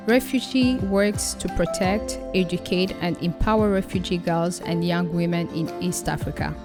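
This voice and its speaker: A woman's voice: on the low side, fairly even intonation, moderate pace, over music. Solemn woman narrating a charity film. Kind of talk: scripted promotional narration.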